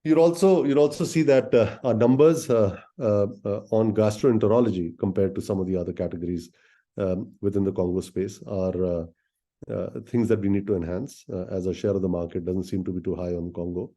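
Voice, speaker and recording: A man speaking as a company executive in a business presentation, explaining things directly to listeners in halting phrases with many filled pauses. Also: sits low, fairly even intonation, warm tone. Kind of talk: business presentation on an earnings call.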